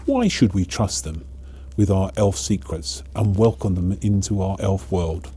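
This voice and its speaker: A man voicing a stern but kind elder elf leader in a storybook, speaking in a measured, questioning way. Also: low voice, mellow, slightly rough, heavily acted. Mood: grave doubt.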